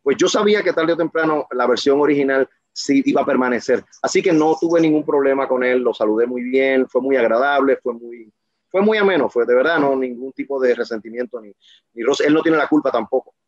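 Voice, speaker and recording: A man's voice: mid-range voice, a little fast, no room sound, highs cut off. Passionate, emphatic man in an interview. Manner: passionate, emphatic, rambling with restarts. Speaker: guest, professional wrestler. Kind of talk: casual interview talk.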